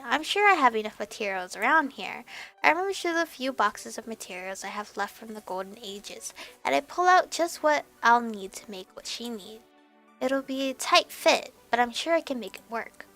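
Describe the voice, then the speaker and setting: A young woman reading a visual novel aloud as a let's player, expressive and animated, with music behind her. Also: a little high, moderate pace.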